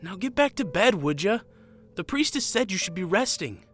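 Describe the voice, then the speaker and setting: A man acts an earnest young town guard recruit in a fantasy audio drama. His boyish delivery is animated and sincere, with some hesitance. Music sits under the voice. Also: sits high, moderate pace. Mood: earnest, caring.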